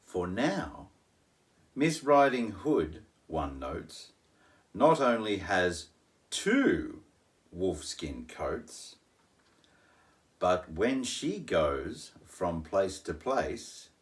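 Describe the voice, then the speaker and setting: A middle-aged man reading a rhyming children's story aloud, with dramatic pauses and playful asides. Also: low-pitched, very animated. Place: small home living room, in an armchair.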